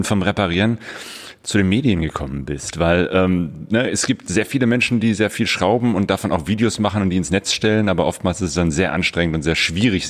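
A middle-aged man from western Germany hosting a casual interview, feeling his way through his phrasing. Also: low-pitched. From Germany, Bergisches Land in the west. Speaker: interviewer, host.

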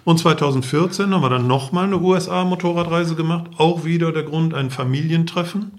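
A man's voice: fairly high pitch, fairly quick. A middle-aged man, a podcast guest, telling anecdotes in a casual conversational style. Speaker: podcast guest, travelling father.

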